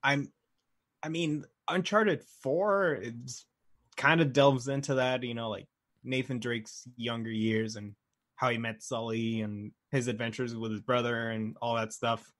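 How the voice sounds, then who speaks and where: A man's voice: mid-range voice, steady tone, measured pace, fairly bright tone. A man co-hosting a casual chat about games and movies, conversational and thinking aloud as he goes. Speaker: podcast co-host.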